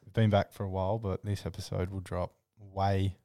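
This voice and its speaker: A young Australian man co-hosting a podcast, relaxed and chatty. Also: low-pitched, moderate pace, dry recording, clean studio sound. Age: young adult. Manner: relaxed, chatty with short agreements. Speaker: podcast co-host. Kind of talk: casual podcast chat. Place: podcast studio.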